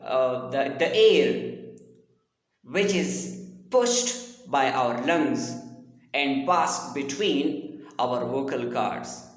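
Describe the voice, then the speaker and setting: A man lecturing on a language subject in a deliberate, repetitive, formally explanatory way. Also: mid-range voice. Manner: deliberate, repetitive teaching with formal address to listeners. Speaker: linguistics lecturer. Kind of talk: explanatory phonetics lesson. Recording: echoey room with long reverb.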